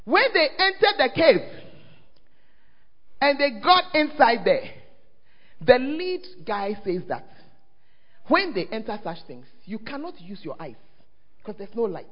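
A man with a Ghanaian English accent preaching a lively sermon as pastor, often calling out to the congregation to check that they are listening. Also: very high-pitched, unhurried, pauses often. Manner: call-and-response, often asks the crowd "are you there?". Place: church hall. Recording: dull, echoing church hall recording.

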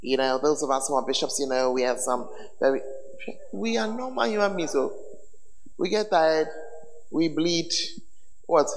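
A man preaching as a bishop, his voice hoarse, teasing the congregation with call-and-response questions. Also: a little high, moderate pace, pauses often, slight background noise. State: hoarse, voice tired. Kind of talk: sermon. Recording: echoey hall with faint ambience.

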